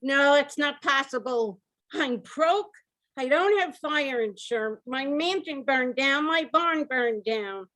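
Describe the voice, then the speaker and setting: A woman's voice: high-pitched, expressive, slow pace, a bit bright, exaggerated voice, rough recording. A woman playing a distraught, incredulous rich husband who owns a mansion, in an old-time radio comedy style. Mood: stunned despair. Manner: dramatic, incredulous, repetitive laments. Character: distraught rich mansion owner, husband, reeling from piling losses.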